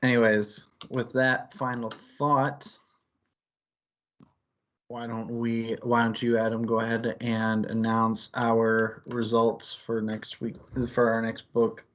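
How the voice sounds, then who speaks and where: A man's voice: medium pitch, monotone, takes it slowly, fairly bright tone, dull audio. A man co-hosting a casual book discussion, talking in a rambling, conversational way peppered with filler phrases. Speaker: book club show co-host.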